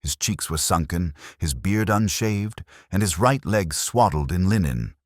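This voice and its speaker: A man's voice: deep voice, lively intonation, measured pace, no echo, clean studio sound. A man narrating a Victorian detective story in a scripted, literary audiobook style.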